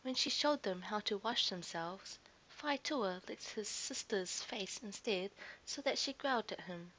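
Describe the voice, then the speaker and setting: A woman's voice: medium pitch, animated, a little slow, a bit bright, slight background noise, poor audio. A woman narrating a scripted children's story expressively, as a storyteller to young listeners.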